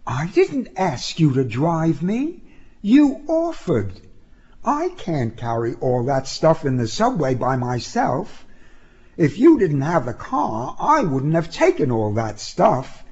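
Man voicing a brisk, teasing young woman, pitched high. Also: wildly swooping pitch, darkish tone, breathy edge, lo-fi sound, heavily acted. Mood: defensive.

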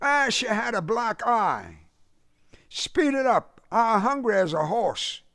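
Guy doing a brash southern uncle from a storybook, high voice swinging all over, loud griping and bragging. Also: big pitch swings, slow, no echo, studio quality, exaggerated voice. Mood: brusque. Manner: loud, complaining, folksy, boastful. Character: brash hot-tempered Southern uncle, former boxer and tall-tale teller. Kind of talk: audiobook character voice in a period story.

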